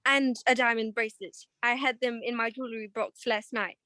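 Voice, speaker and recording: A woman's voice: a little high, fairly even intonation, brisk, sharp tone, no room sound. A woman playing an anxious, genteel married client in a 1940s-style detective radio play, pleading with many stammered hesitations. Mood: flustered, pleading.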